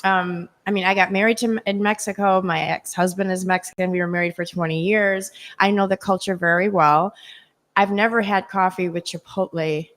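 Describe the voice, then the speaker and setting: A woman co-host speaks with open enthusiasm at a middle pitch. She praises the place in easy, casual chat. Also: fairly even intonation, normal speed. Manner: enthusiastic, warmly effusive praise.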